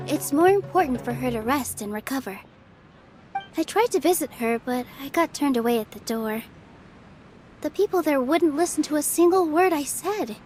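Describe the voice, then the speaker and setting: A woman playing a gentle, modest young theater dancer in a video game, with a polite, friendly manner. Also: high voice. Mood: concerned, hopeful. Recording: game dialogue over clear background music. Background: music.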